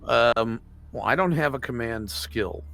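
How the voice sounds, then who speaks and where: A man's voice: mid-range voice, a little slow, creaky, fairly bright tone. A man talking casually as a player in a tabletop roleplaying game, informal in his way of speaking.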